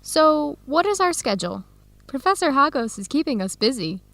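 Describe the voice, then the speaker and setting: A woman's voice: high-pitched, sing-song, normal speed, vocal fry, fairly bright tone, dry recording, full character voice. A young woman acting an earnest, disheartened student in her early twenties in a radio drama, enunciating carefully for language learners. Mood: discouraged. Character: disheartened 22-year-old Ethiopian-American woman student, earnest, torn.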